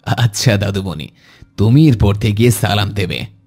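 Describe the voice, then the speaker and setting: A man playing a kindly, pious elderly grandfather who gently admonishes in a measured, instructive way in an Islamic cartoon. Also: lowish voice, lively intonation, soft background music. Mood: affectionate, proud. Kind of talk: Islamic moral cartoon dialogue.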